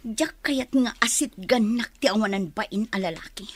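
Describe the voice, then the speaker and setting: Husky, indignant young woman played by an actress. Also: medium pitch, moderate pace, no echo, close to an everyday voice. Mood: uneasy, resentful. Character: hurt, indignant young office worker betrayed by her married lover. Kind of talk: Ilocano radio drama acting.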